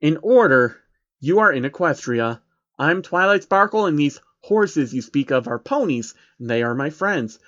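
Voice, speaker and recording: A man's voice: fairly high pitch, expressive, moderate pace, fairly bright tone, highs cut off. A man voicing a bookish, earnest and easily annoyed female unicorn pony, explaining primly in a story reading.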